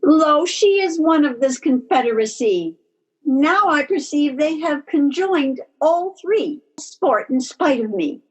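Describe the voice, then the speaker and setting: A woman playing a wronged, pleading young gentlewoman in an amateur Shakespeare verse table read. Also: sits high, expressive, slow. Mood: accusing. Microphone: video call. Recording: dull, lo-fi video call.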